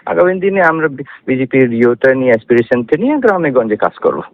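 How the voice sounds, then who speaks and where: A man's voice: mid-range voice, sing-song, fast talker, dry recording. Dull-sounding phone line, Tripura Bengali man. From Tripura, India. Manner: mixes English phrases into Bengali. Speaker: election candidate being interviewed. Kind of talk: phone interview answers. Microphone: telephone.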